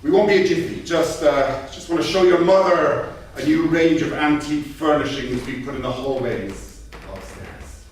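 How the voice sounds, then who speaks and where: A man's voice: on the high side, natural voice. A man playing a flirtatious hotel charmer in a staged reading, suave and patronising. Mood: coaxing. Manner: suave, coaxing, drawn-out. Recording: echoey theatre, distant mic, faint noise.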